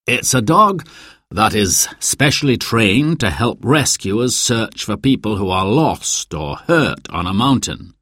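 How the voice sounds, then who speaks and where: Man acting out kindly older hostel keeper, storybook character, patient, lively intonation, unhurried. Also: fairly low pitch, no echo, clean studio sound, full character voice. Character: kindly older male hostel keeper, fond of his dog.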